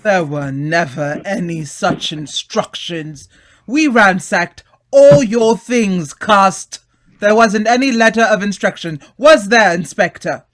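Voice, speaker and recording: A man playing a stern, indignant gentleman in an old-time radio mystery, scornful and accusing in a clipped manner. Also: high voice, takes it slowly. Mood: angry, accusing. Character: stern, indignant gentleman demanding justice for his brother.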